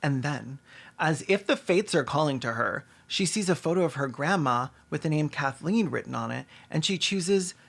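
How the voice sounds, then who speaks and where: A man's voice: on the high side, animated, moderate pace, gravelly, a bit bright. A man narrating scripted comedic commentary in a wry, sarcastic manner. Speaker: video essay narrator.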